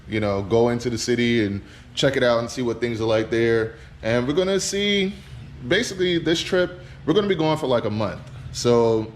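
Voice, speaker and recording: Relaxed Trinidad-born man vlogging. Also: mid-range voice, slight background noise. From Trinidad, Caribbean. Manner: relaxed, chatty, talks straight to the camera. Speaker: car vlogger. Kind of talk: casual travel vlog.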